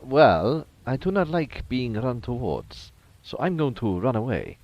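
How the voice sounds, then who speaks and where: A man's voice: medium pitch, very animated, measured pace, a little mellow, dull audio. A man playing in a tabletop game, chatty and self-mocking, sometimes counting aloud. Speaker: player. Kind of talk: casual tabletop game chat. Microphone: podcast mic.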